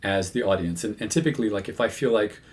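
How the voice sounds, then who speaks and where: A man with a fairly low voice talks to his viewers in a relaxed, conversational way. He often stops to restart a sentence and fills the gaps with "um" and "uh". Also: fast talker. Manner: conversational, many fillers and self-corrections. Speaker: medium, channel host. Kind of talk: casual talk to camera.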